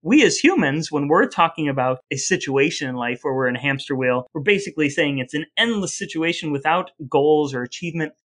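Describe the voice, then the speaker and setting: A man's voice: a little high, lively intonation, a little fast, hardly any pauses, fairly bright tone. Explaining man on a podcast. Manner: explanatory, laughs at his own points. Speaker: podcast co-host. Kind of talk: casual chat, reading out researched facts.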